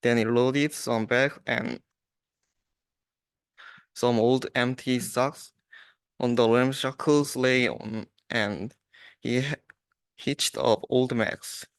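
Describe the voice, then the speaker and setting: A young adult man, a student, reading a rhyming storybook aloud with halting restarts. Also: mid-range voice, steady tone, clear, resonant tone, dry recording.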